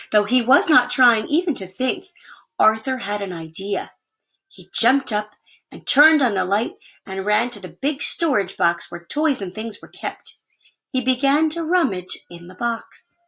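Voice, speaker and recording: A woman reading a children's story aloud in an expressive narrating style. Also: fairly high pitch, a little slow, husky, rough recording. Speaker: story reader.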